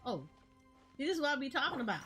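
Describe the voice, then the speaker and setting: A woman's voice: sits high, very animated, fast talker. A woman streamer giving casual play-by-play commentary, half talking to herself as she plays a video game. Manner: talks to herself, muttering reactions while playing. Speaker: gaming streamer. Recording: game music behind the voice.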